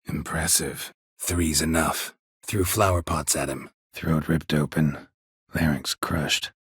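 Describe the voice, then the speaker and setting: An actor playing a gruff, stoic monster hunter in a video game, with terse, deadpan, dry-witted delivery and a gravelly voice. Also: male voice, slow pace, dry recording, exaggerated voice. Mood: deadpan, matter-of-fact, then somber, measured. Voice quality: gravelly, husky low voice. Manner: terse, deadpan, clipped phrasing.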